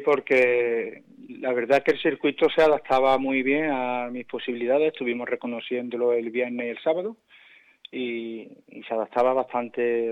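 A man's voice: medium pitch, clear, resonant tone. A man, calling in over a phone line to talk about his race. He speaks modestly and hesitates, restarting his phrases, and the line sounds a bit muffled. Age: 50s. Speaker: cyclist guest.

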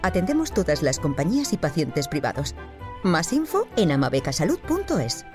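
Deep-voiced woman reading a clinic ad. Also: wildly swooping pitch, brisk. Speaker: ad voice-over. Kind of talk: scripted clinic ad. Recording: ad read over music.

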